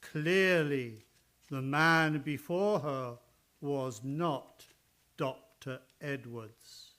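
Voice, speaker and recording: A male radio announcer with a high voice and a very slow pace. He delivers scripted narration in a deliberate, theatrical way. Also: pushed and bright. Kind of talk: scripted narration and promo reading. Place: radio studio, live performance.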